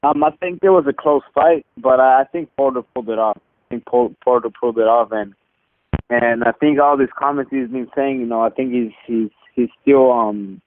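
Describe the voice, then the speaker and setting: A man speaking as a boxer on a press call, answering casually and haltingly with repeated words. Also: medium pitch, no room sound. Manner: halting, repeats words, peppers talk with "you know" and "man". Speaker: boxer being interviewed. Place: phone line on a teleconference. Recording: narrow muffled telephone conference line.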